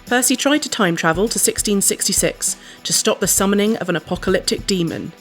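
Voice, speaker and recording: A woman's voice: mid-range voice, brisk. A woman giving a scripted introduction as a tabletop game player. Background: music.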